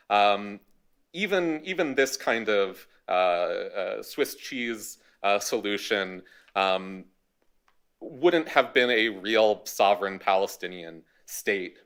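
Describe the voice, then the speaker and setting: Man with a low, smooth voice. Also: fairly bright tone. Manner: measured, dryly wry. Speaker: panelist, history academic. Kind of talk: academic panel talk. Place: university event hall.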